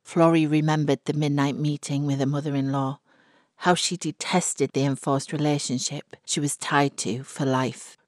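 A woman narrating a dramatic short story with deliberate, expressive phrasing. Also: low voice, no echo, clean studio sound. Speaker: audiobook narrator.

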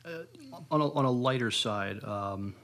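A man's voice: medium pitch, expressive, unhurried, fairly bright tone. A man hosting a podcast interview in a casual, informal and somewhat hesitant way.

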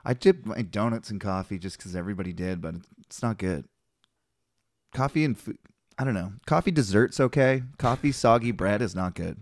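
A man hosting a podcast in casual chat, fast and wired, laughing often and swearing casually. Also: on the low side, animated, no room sound. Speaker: podcast host.

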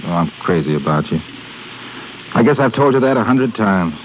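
Muffled old radio recording, man acting a breezy young go-getter, lively intonation, earnest, romantic lead. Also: on the low side, moderate pace, slight background noise, natural voice. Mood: lovestruck, pleading. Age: young adult. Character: eager, lovestruck young shipping entrepreneur.

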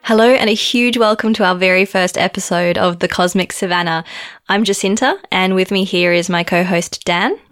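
A woman's voice: mid-range voice, fairly even intonation, normal speed, a bit bright, dry recording, clean studio sound, faint music behind. A woman in her thirties with an Australian accent, co-hosting a casual podcast chat and talking in a chatty way full of ums. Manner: chatty, filler-heavy with frequent ums. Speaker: podcast co-host.

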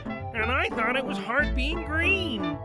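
A man putting on exaggerated comic characters with drawn-out, theatrical delivery for a comedy podcast. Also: very high-pitched, animated, over loud music, voice pushed up, heavily acted. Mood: showy, grumbling.

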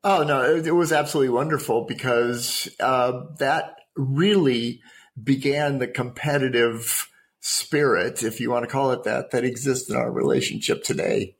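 A man's voice: medium pitch, moderate pace, a little hiss or hum. An older man from the United States, sharing personal history as an interview guest. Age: older, 60s or 70s.

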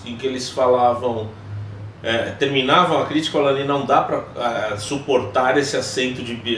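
A man's voice: mid-range voice, distant mic. A man co-hosting a podcast in casual music chat, telling amused anecdotes. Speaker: podcast co-host. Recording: echoey room, off-mic, faint noise.

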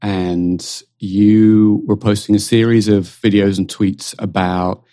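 A British man hosting a podcast, reading a scripted intro and then interviewing in a measured, calm way. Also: lowish voice, no room sound, studio quality. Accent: British English. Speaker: podcast host.

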